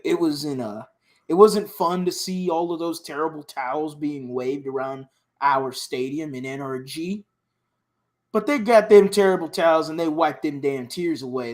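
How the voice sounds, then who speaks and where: A man with a raspy, hoarse voice co-hosts a sports podcast. He sits slightly higher in pitch and tells his stories in an eager, rambling way, stumbling over his words. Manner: excited, rambling, restarts a lot. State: hoarse from cheering. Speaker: podcast co-host. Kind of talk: casual sports podcast chat.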